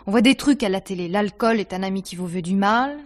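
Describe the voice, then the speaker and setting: A female actor plays a theatre actress suspected in a radio murder mystery. Her voice sits at a middle pitch. She answers with self-possession and a dry, sardonic edge, in a theatrical 1950s manner. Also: fairly quick, close to an everyday voice. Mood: reflective, frank.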